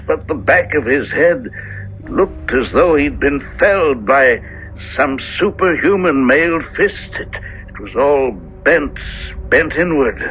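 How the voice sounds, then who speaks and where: An older man playing a gentle, uneasy minister father in a 1970s radio drama, halting and solemn. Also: fairly high pitch, close to an everyday voice. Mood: haunted, solemn. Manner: halting, solemn, deliberate phrasing. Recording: dull old radio recording with music.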